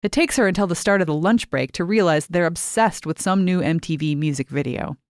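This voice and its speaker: A woman reading short fiction as a scripted narration, measured and clearly articulated. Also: lowish voice, dry recording, clean studio sound. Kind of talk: scripted audiobook-style narration.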